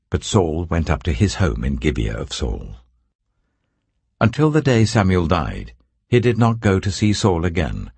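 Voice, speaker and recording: An older man narrating scripture in a measured, expressive storytelling manner. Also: very deep voice, gravelly, slightly rough, no echo. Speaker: scripture reader. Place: indoor wood-panelled study.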